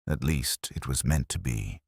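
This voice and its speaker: A man as an anxious, fussy bishop, deep-voiced. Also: measured pace, darkish tone, slightly rough, no echo, studio quality, heavily acted. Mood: embarrassed, evasive. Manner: hesitant, formal, genteel. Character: anxious, embarrassed male bishop, fussy and evasive.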